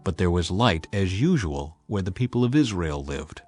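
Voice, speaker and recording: A man's voice: deep voice, very animated, moderate pace, a little mellow, faint music behind. A man narrating a dramatized scripture audiobook in a solemn, emphatic storytelling manner.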